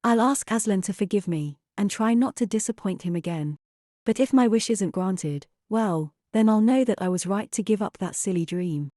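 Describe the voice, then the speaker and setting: A woman plays an earnest young mother in an audio drama, torn between doubt and hope. Her voice is soft-edged and mellow at a medium pitch, deliberate in delivery, recorded close and dry. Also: no room sound, natural voice. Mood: resolute, wistful. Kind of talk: amateur audio drama acting.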